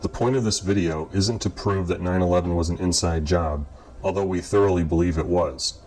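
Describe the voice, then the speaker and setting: A man reading scripted documentary narration in a measured, formal way, as the narrator. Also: sits low, darkish tone. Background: guitar music.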